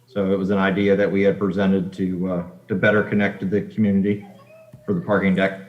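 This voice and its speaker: A man speaking as an architect at a public meeting, answering questions in halting, hesitant phrases. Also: low voice, flat delivery. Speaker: architect presenting for an applicant. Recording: echoey, dull video-call audio.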